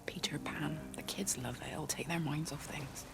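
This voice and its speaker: A woman's voice: low voice, fairly even intonation, moderate pace, creaky, whispered, over loud music. An actress playing a stubborn, caring, down-to-earth village woman in a naturalistic video game drama. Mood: subdued, resolute.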